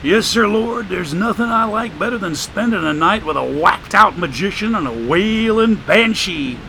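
A sarcastic, drawling homeless man with rough grammar, pitched well up and lively, as a male narrator puts him on in a storybook reading. His voice rings bright and forceful. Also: normal speed, slight background noise, heavily acted, rough recording. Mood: grumbling. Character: folksy homeless older man, wisecracking.

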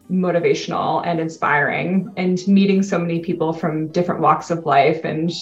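A Canadian woman with a lowish voice chats easily as an interview guest, upbeat and quick to laugh. Her voice comes through a dull, muffled line in an echoey room. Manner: upbeat, laughs often, chatty. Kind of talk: casual interview chat.

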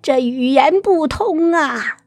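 A woman's voice: high-pitched, expressive, slow pace, dry recording, clean studio sound, full character voice. A woman playing a proud, folksy, doting old grandmother with a Northeastern Chinese accent in a radio drama. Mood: proud, amused.

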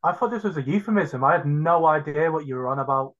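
A man's voice: fairly high pitch, animated, fast, a bit bright. Wry, joking man on a muffled line. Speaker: podcast co-host. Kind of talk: casual podcast chat.

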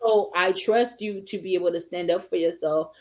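A woman's voice: lowish voice, fairly even intonation, normal speed. A woman attorney and business owner talking as an interview guest, assured and emphatic, in short declarative phrases. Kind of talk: casual interview conversation.

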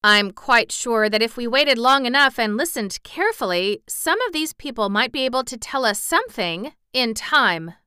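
A woman's voice: on the high side, lively intonation, normal speed, few pauses, bright, forceful tone, clear, resonant tone, no echo, clean studio sound, voice pushed up. A woman voicing a cheerful, confident old wizard in a storybook reading. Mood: merry. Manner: confident, merry.

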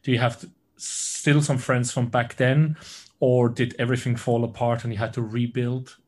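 Hesitant man asking halting questions. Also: medium pitch, flat delivery, darkish tone. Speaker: podcast host. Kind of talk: interviewing, casual chat.

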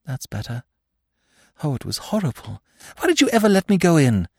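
A lively young woman, eager and impulsive, with a voice that swings high, as a male narrator puts her on. She talks in quick breathless bursts. The sound is close and dry. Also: breathy edge, dry recording, exaggerated voice. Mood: shaken. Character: eager young woman, spirited, then shaken.

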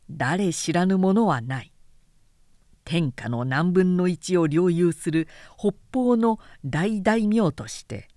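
Woman narrator, measured and very dry sound. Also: on the low side, warm tone, no echo. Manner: measured, formal storytelling. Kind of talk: scripted literary audiobook narration.

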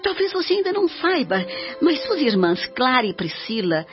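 Serene older mum spirit in a radio play, solemn n measured, kinda teachy, slightly muffled w music. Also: female voice, sits high, big pitch swings. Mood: solemn, tender. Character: serene, devoted older mother spirit, gently instructing her son. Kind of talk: radio drama acting. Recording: dull radio drama track with music.

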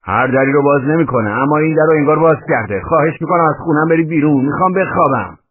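A man voicing an exasperated, indignant flat owner in loud protest, on a tinny, band-limited recording. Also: medium pitch, dry recording, pitched above the performer's own voice, close to an everyday voice.

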